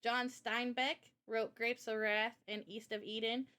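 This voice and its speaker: A woman streamer hosting a casual live chat, talking in an animated, opinionated way with rambling asides. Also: a little high, unhurried. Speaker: streamer and host.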